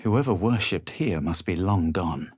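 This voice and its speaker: An actor playing a self-assured, superior young male adventurer in a video game, speaking in a measured, aloof, dramatic way. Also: deep voice, animated, a little mellow, breathy edge, no room sound, clean studio sound, exaggerated voice. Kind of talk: video game character lines and storytelling narration.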